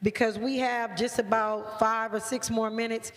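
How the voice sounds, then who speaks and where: Woman, panel moderator, higher voice, brisk, organized, long hall reverb. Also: little pitch movement.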